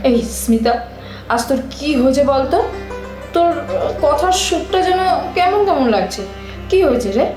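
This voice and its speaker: Woman acting a very high-voiced, coaxing younger sister. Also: normal speed, long pauses. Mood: coaxing, concerned. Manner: coaxing, expressive, affectionate. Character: affectionate, scatterbrained younger sister, playful and coaxing. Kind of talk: Bengali audio drama acting. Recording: echoey room, music bed.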